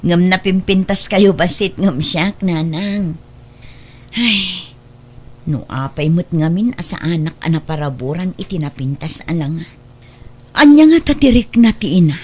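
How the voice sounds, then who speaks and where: A woman's voice: on the low side, expressive, unhurried, a little mellow, husky. A woman with a Filipino accent acting a long-suffering, forbearing mother in a radio drama. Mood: hurt.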